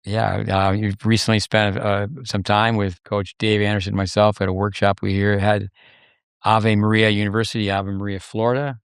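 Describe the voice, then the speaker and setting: Podcast host guy, low gravelly voice, kinda jokey and folksy, dry sound. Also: level tone, no echo. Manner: joking, folksy, drawn-out filler. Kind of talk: casual interview hosting.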